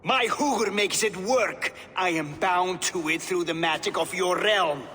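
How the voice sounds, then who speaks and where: An actor playing a bitter, contemptuous giant sorcerer in a fantasy video game, speaking in a deliberate, theatrical way. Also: male voice, high-pitched, pushed and bright, slight background noise. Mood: mocking. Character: bitter, mocking giant builder and captive sorcerer. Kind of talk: video game cutscene acting. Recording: reverberant hall, faint background ambience.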